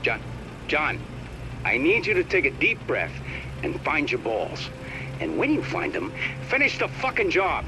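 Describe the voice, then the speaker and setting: A man playing a gruff, sardonic, bossy tech operator in a video game. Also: on the high side, wildly swooping pitch, measured pace, music in the background, rough recording. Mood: blunt, commanding. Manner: blunt, sardonic, commanding. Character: gruff, bossy, foul-mouthed male tech operator on comms.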